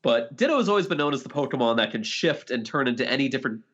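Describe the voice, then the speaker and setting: Lively-pitched man on a slightly dull mic. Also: very fast. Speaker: podcast co-host. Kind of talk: casual chat.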